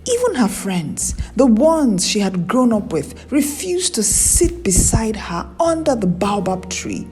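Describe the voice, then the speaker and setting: A woman tells a folktale with measured drama. Her pitch moves expressively while the timbre stays mellow, and she takes her time. Music plays faintly behind her. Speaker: storyteller, narrator. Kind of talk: scripted narration of a folktale.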